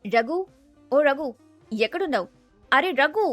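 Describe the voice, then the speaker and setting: A woman's voice: high-pitched, expressive, unhurried, no room sound, faint music behind. A woman playing an aggrieved, insistent young jilted sweetheart in a family drama story.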